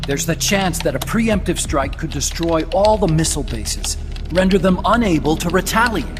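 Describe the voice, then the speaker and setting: An actor playing an eager, talkative weapons scientist in a game cutscene, explaining things in an emphatic, lecturing way. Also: male voice, a little high, expressive, normal speed, natural voice. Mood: earnest lecturing. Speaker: weapons engineer character. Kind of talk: dramatic game cutscene dialogue. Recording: long reverberant hall, music underneath. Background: prominent background music.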